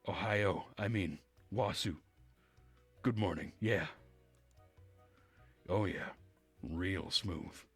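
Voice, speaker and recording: A man's voice: low-pitched, drawn-out words, long pauses, a bit bright, slightly rough, soft background music, heavily acted. A man voicing an awkward, sardonic young camp newcomer in a visual novel, with a dry manner. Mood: flustered.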